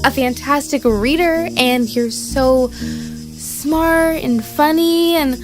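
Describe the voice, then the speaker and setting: Woman playing a high-voiced, smitten, awkward runaway. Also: slow. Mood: smitten, flustered. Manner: gushing, flustered. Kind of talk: audio drama acting. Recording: faint music behind.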